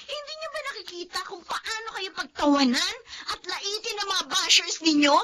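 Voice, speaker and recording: A woman's voice: very high-pitched, animated, normal speed, noisy background. A woman playing an indignant young daughter confronting her mother in a radio drama. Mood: tearful. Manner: indignant, emotional, tearful.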